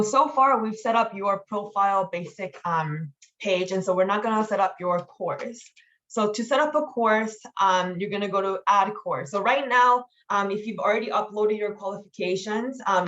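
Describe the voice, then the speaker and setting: A woman's voice: mid-range voice, fairly bright tone. Woman in dull, lo-fi meeting audio. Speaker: mentor leading an online training meeting. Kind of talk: informal instructional walkthrough with slides.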